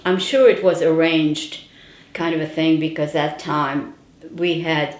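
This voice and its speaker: A woman's voice: sits low, steady tone, measured pace. An older woman, recalling her childhood as a storyteller with wry asides. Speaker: interviewee. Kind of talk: personal oral-history reminiscence. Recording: roomy indoor echo.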